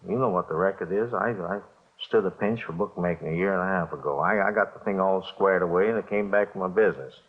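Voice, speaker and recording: An actor playing a jumpy middle-aged small-time bookmaker confessing to police in halting, repetitive speech, in 1950s radio drama style. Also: male voice, low voice, lightly acted. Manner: halting, repetitive, nervous hedging. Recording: dull old radio recording.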